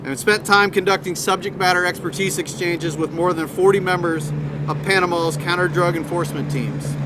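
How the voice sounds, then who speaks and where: A Coast Guard captain delivering a formal, measured prepared statement at an outdoor press event. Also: male voice, sits high. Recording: outdoor traffic noise.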